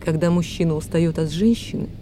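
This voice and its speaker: An actress playing a proud, weary, wealthy widow in a radio play, languid and dramatic in manner. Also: female voice, on the low side, fairly quick, noisy background, lightly acted. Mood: wounded, bitter. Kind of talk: Russian radio play acting.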